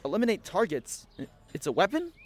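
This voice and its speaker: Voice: mid-range voice, wildly swooping pitch, quick pace, slight background noise. A performer playing a laid-back young man, a dramatic, flippant sidekick in an audio fiction podcast, slangy and sarcastic.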